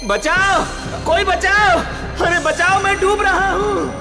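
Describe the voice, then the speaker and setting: An actor voicing a panicky, heavy village man crying for rescue in a Hindi animated moral story. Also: very high voice, slow, heavily acted. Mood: panicked. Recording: loud background music.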